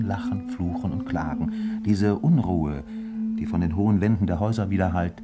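A man narrating a 1950s German radio drama as a measured, reflective storyteller with literary phrasing. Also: on the low side, close to an everyday voice.

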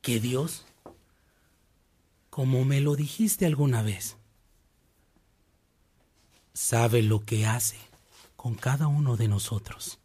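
A male actor playing a kindly, solemn senior priest, husky and measured, in a radio drama. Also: mid-range voice, dark tone. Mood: solemn, affectionate. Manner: measured, paternal.

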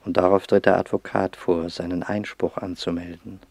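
An actor as the sober, detached narrator of a radio play, reading literary text in a measured, grave manner. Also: male voice, sits low, flat delivery, creaky, darkish tone, no echo.

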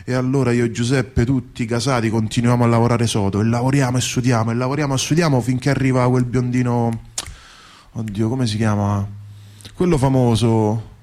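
A man's voice: medium pitch, steady tone, brisk, darkish tone, natural voice. A man playing a humble, earthy bricklayer in a theatrical radio monologue, with a salty, colloquial, wonderstruck way of repeating himself. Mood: proud, moved. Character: humble, earthy bricklayer recounting his dream of heaven.

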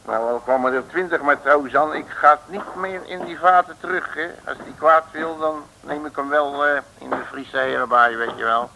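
Blustering, gravelly comic detective. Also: male voice, fairly high pitch, fairly even intonation, moderate pace, hardly any pauses, pushed and bright, exaggerated voice. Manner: blustering, colloquial, peppered with hesitations. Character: bearded, grumbling, boastful male private detective. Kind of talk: 1950s Dutch comic radio drama acting. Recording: noisy old radio recording.

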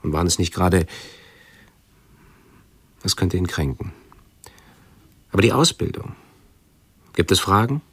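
A low-voiced, fretful hypochondriac in a radio drama. His speech is slightly husky and pedantic, halting as he rehearses and corrects himself. The recording is close and dry. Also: expressive, a bit bright, dry recording, exaggerated voice. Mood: agitated pondering. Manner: pedantic, halting, self-interrupting, brooding aloud. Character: anxious hypochondriac man obsessively rehearsing pleas to doctors. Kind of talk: German radio play monologue.

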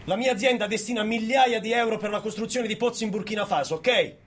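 An actor playing a pompous, self-righteous conservative business owner, sententious and rhetorical, in a satirical theatre monologue. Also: male voice, sits high, quick pace, a bit bright, slight background noise. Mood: defensive, smug.

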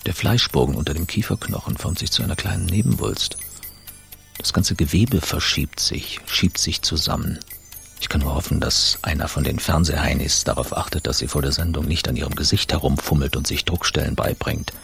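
A fussy perfectionist in a radio drama. His extremely deep, gravelly voice is clinical and peevish, with music playing behind it. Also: fairly quick, a bit bright, exaggerated voice. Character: fussy, clinical perfectionist obsessed with a woman's face. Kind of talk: dramatic monologue, radio play.

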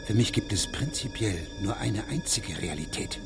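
A man's voice: on the low side, animated, moderate pace, vocal fry, over music. A man playing a stern, pedantic federal judge in a radio drama, with formal, precise phrasing. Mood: stern, insistent.

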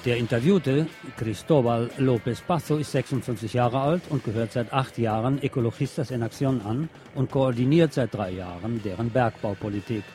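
A man's voice: mid-range voice, moderate pace, hardly any pauses, over music. A man presenting on the radio, reading press articles aloud.

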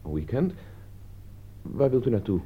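An actor playing a defensive thirty-year-old idler under questioning, speaking evasively in a radio play. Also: male voice, medium pitch, very animated, moderate pace, a little hiss or hum, lightly acted. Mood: defensive, shaken. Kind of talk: Dutch radio drama dialogue. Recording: dull, band-limited old radio recording.

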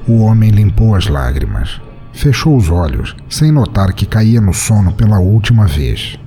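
A Brazilian man hosting a podcast and narrating scripted fiction in an expressive, theatrical way. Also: lowish voice, moderate pace, soft background music. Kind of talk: dramatic scripted short-story narration.

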